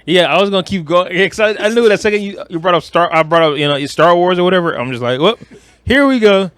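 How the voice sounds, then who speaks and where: Male co-host, somewhat high pitch, dry recording, casual slangy banter. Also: animated, quick pace, fairly bright tone, clear, resonant tone, dry recording. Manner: slangy, conversational. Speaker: podcast co-host. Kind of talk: casual chat about video games and film.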